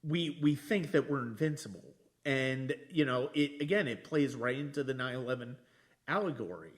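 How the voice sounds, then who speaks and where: A man hosting a podcast, talking casually about films in a reflective, halting way with frequent restarts. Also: mid-range voice, lively intonation. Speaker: podcast host. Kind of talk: casual film discussion.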